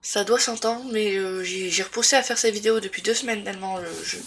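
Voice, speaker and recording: A woman's voice: medium pitch, quick pace. Female vlogger with a head cold, rambling and hesitant, faint room noise behind her. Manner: rambling with many fillers and restarts. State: congested with a cold. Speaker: booktuber. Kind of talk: casual book review vlog.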